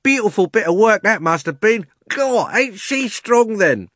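A man's voice: high voice, animated, unhurried, a bit bright, dry recording, clean studio sound, pitched above the performer's own voice, exaggerated voice. A man voicing a mocking man in a street crowd.

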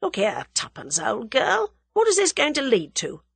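A woman voicing a sensible, wry young English man in a dramatised audiobook, with a measured, sceptical manner. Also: high voice, huge pitch swings, gravelly, slightly rough, no echo, voice pushed up, heavily acted. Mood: serious, doubtful. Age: young adult.

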